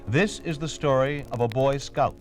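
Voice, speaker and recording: A man with an American accent giving solemn, deliberate scripted narration as a 1950s radio announcer. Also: medium pitch. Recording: old 1950s radio recording with music.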